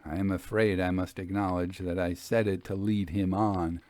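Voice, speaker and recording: A man narrating a literary ghost story as an audiobook, in a measured, formal storytelling manner. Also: low voice, little pitch movement, a little mellow.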